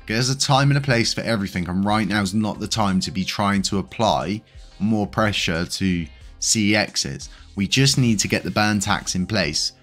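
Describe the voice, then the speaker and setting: Deep-voiced congested British man. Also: moderate pace, a bit bright, faint music behind. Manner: rambling, with many fillers. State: congested, slightly wheezy. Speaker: crypto channel host. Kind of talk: casual news commentary.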